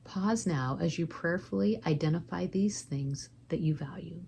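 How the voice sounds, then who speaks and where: Low-voiced woman leading a guided meditation. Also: normal speed, creaky, mellow, a little hiss or hum.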